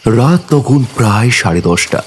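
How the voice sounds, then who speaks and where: A man's voice: mid-range voice, expressive, a little slow, slight background noise. A man narrating a ghost story in a suspenseful, dramatic storytelling manner. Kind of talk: scripted horror narration.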